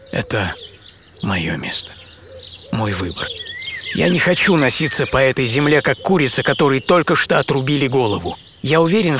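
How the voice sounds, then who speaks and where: A man's voice: medium pitch, noisy background, lightly acted. A man performing a theatrical, intimate first-person radio monologue as a famous American astronaut looking back on his life. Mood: hushed, reflective. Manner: theatrical, intimate, swinging between eager and hushed. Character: famous American astronaut recalling life from boyhood to quiet old age. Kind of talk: dramatic first-person monologue, audio play. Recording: studio voice over music bed.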